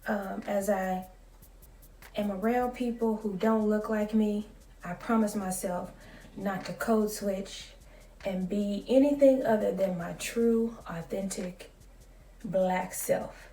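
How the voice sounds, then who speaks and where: A woman in her late thirties, a school psychologist, talking in a soft, calm and measured way in a casual vlog. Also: mid-range voice, roomy sound, recorded from a distance. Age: 30s.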